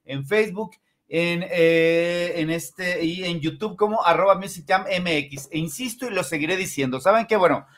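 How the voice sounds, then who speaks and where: Man, Mexican accent, raised pitch, casual music show host, rambling, repetitive. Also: normal speed, fairly bright tone. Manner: rambling, repeats phrases. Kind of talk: casual, chatty show hosting. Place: indoor room, on a video call. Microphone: video call, wearing headphones.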